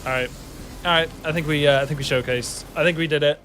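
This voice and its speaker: A man's voice: fairly high pitch, fairly even intonation, quick pace, noisy background. A man in his thirties from West Wales with a Welsh accent, chatting casually to his stream while he plays, swearing often and laughing easily. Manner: swears casually, laughs a lot, rambles to chat. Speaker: game streamer. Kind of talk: casual live-stream chat. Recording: music playing behind.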